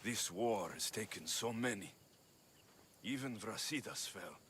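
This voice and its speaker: Bright, forceful Greek mercenary hero. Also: male voice, fairly low pitch, animated, unhurried, a little hiss or hum. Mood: grieving. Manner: plain-spoken, terse. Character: battle-worn young Greek mercenary hero, earnest and guarded. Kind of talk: dramatic video game cutscene acting.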